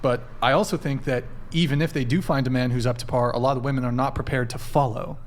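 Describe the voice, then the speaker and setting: A man's voice: medium pitch, fairly even intonation, quick pace. Man, host, live dating panel, questioning, faint background noise.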